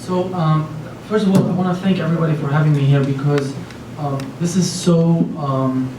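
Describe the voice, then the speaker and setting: A man's voice: on the high side, fairly even intonation, normal speed. A man in his thirties from Lebanon, speaking on a conference panel in an earnest, rambling way full of fillers and restarts. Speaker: panelist, company founder. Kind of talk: panel talk. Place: large conference room with an audience. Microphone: handheld mic. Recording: echoey room from far off, faint noise.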